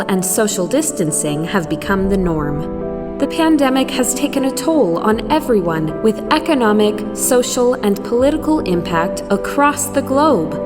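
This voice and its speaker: Woman voice-over, lively pitch, music under, long reverb. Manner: measured, expressive delivery. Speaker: video narrator. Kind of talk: scripted corporate narration.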